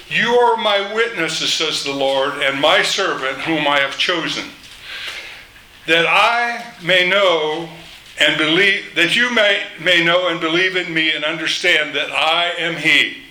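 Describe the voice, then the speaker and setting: Slow, emphatic older man preaching. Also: on the high side, a little hiss or hum, low-quality recording. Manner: slow, emphatic delivery, rises when quoting scripture; loud voice. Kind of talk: sermon with scripture reading.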